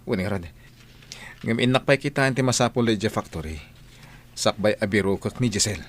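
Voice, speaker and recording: A man playing a determined young gentleman of a well-off household in a radio drama, earnest and firm. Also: on the low side, moderate pace, noisy background, natural voice.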